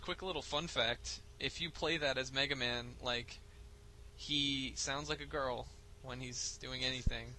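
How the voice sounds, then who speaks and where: A young man chatting casually about games as a podcast co-host, retelling things in an animated way with acted-out quotes. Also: medium pitch, measured pace, slight background noise, low-quality recording. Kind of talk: casual gaming chat.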